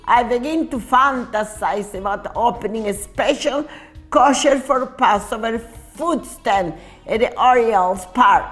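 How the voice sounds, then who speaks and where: A woman reading a children's story aloud in an expressive, deliberate way, occasionally stumbling over words. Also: a little high, soft background music. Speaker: storytime reader.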